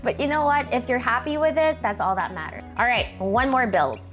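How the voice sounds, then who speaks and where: A woman's voice: fairly high pitch, a little fast, a bit bright, faint music behind. A woman with a Filipino accent, hosting and chatting casually as she looks over viewers' setups. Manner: chatty, upbeat, thinks aloud. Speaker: host reviewing viewer gaming setups. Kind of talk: casual commentary.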